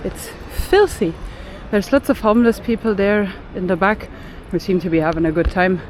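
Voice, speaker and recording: A woman's voice: medium pitch, moderate pace, a little mellow, poor audio. A woman co-hosting a travel vlog on an outdoor city street, talking earnestly and arguing her points with numbers. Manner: earnest, argumentative, explains with figures. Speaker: travel vlogger, co-host. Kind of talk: casual vlog narration. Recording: street traffic noise behind.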